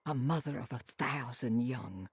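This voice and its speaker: An actress playing a devout, troubled woman in a video game, speaking in a solemn, hushed and reverent way. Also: a bass voice, measured pace, slightly rough, dry recording, heavily acted. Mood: fervent, reverent. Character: devout, troubled woman seeking solace in voodoo, praying. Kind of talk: dramatic video game monologue.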